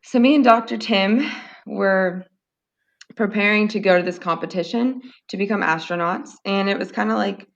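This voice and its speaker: A hoarse woman co-hosting a podcast, casually rambling through a dream story and laughing often. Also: medium pitch, normal speed, highs cut off. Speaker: podcast co-host. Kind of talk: casual chat, telling a dream.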